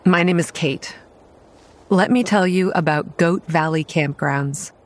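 Woman acting as low-voiced, weary young campground manager. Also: a little slow, natural voice. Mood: grave, confiding. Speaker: narrator and protagonist. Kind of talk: first-person horror audio drama narration.

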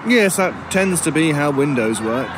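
Haughty, sardonic crow with swooping intonation. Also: male voice, fairly high pitch, expressive, measured pace, noisy background. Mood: dry, sardonic. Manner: dry, haughty, formal phrasing. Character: sardonic, impatient talking crow-like male creature, coolly superior. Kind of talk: audio drama acting.

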